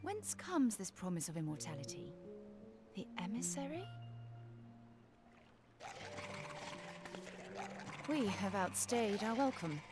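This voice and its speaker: Actress as a composed fantasy investigator, mid-pitched. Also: female voice, very animated, over loud music, natural voice. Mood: wary. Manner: formal, measured, archaic diction. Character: composed, shrewd female investigator in a fantasy world. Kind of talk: dramatic video game voice acting.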